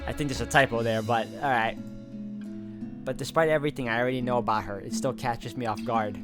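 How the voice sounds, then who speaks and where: A man's voice: mid-range voice, fairly even intonation, brisk. A man reading visual novel narration aloud as he plays through the game. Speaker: video game player and narrator. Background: guitar game music.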